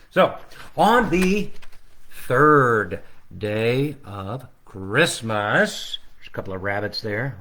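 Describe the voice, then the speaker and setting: A man's voice: mid-range voice, bright, forceful tone. A man hosting a casual livestreamed storytime at home, reading his picture book aloud with chatty, rambling, playful asides to the audience. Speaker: children's author reading own book aloud. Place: at home, living room.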